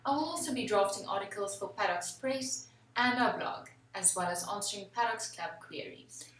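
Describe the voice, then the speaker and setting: A young woman with a South African accent and Afrikaans as her first language, an attorney giving formal, measured answers in an interview. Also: mid-range voice, lively intonation, fairly bright tone, far from the mic, a little hiss or hum. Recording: echoey room, away from the mic.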